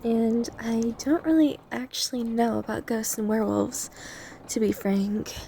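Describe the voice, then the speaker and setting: A woman playing an obsessive female vampire lab technician in an ASMR roleplay, coy, softly teasing and eerily polite as she explains herself to the listener. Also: on the high side, steady tone, unhurried, vocal fry, slight background noise. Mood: chatty, polite.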